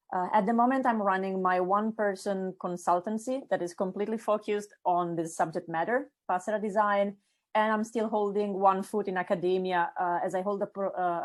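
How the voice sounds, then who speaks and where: A middle-aged woman with an Italian accent presents her upcoming course in an informal, eager way. She speaks at a medium pitch and often pauses on "uh" and restarts her sentences. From Italy. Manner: lots of fillers and restarts, enthusiastic. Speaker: course instructor. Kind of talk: casual spoken course introduction.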